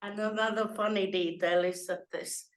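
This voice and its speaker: A woman's voice: mid-range voice, steady tone, normal speed. Dry woman, echoey room. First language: Finnish. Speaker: workshop participant. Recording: roomy echo.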